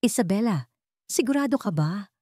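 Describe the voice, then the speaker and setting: A woman's voice: medium pitch, animated, moderate pace, mellow, dry recording, clean studio sound, voice pushed up, natural voice. A woman voicing a worried, cautious female confidante in a story, anxious and cautioning.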